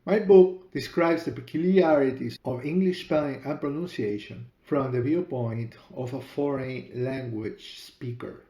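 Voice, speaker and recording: A middle-aged Peruvian man with a Spanish accent tells his own story in a slow, deliberate podcast monologue. His voice is mellow and warm. The room around him echoes noticeably. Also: medium pitch. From Peru. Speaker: podcast host and author.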